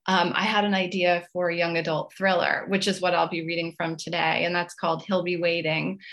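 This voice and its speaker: A woman's voice: lowish voice, fairly bright tone. A middle-aged woman speaking conversationally as an interview guest, chatty and often hedging her phrases as she goes. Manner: chatty, hedging with "you know" and "I guess". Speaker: guest author.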